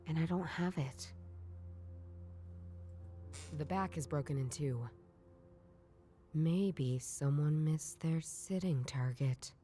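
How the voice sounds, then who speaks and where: Game role: a sardonic, hard-drinking female noir detective, low and dark-voiced, deadpan inner monologue. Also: slow, pauses often, a little hiss or hum. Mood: wry, weary. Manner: dry, weary, deadpan.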